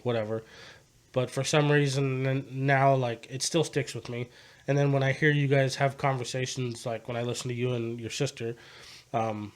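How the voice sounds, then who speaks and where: A man with a mid-range voice hosts a relaxed podcast conversation. He talks loosely and swears all the time. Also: mid-range voice. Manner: laid-back, swears constantly, laughs.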